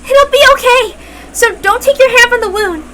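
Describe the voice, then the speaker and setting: A woman's voice: extremely high pitch, moderate pace, noisy background, voice pushed up. A woman voicing a frantic, pleading older female servant in a dramatic story reading. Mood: panicked, pleading.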